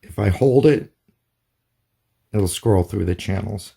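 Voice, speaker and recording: Low, mellow-voiced man demonstrating a product. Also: takes it slowly, vocal fry, husky. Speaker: product reviewer. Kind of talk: casual hands-on product demonstration.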